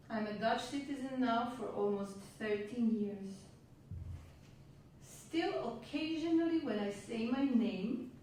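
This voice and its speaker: A woman from Yugoslavia, a native Hungarian speaker, performing a spoken-word monologue on a venue stage in a measured, reflective way with wry asides. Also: a little high, a little mellow, slight background noise. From Yugoslavia (Vojvodina). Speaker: stage storyteller. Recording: roomy, distant live hall sound.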